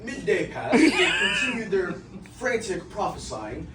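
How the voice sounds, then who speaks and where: A man's voice: sits high, very animated, moderate pace. A young man giving a theatrical, emphatic narration of scripture, projecting his voice as in a drama. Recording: echoey room from far off, noisy.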